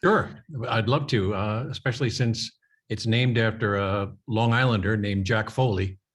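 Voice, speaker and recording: A man's voice: on the low side, moderate pace. A man working as a Foley artist, explaining things informally as a panel guest.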